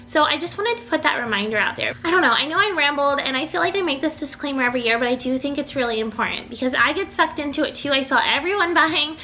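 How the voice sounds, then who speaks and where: A woman's voice: high voice, animated, fast talker, hardly any pauses, pushed and bright. A young adult woman chatting in a rambling, upbeat way as a home decor vlogger, her voice a little off while she gets over being sick. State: recovering from illness, voice a little off. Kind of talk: casual chatty vlog.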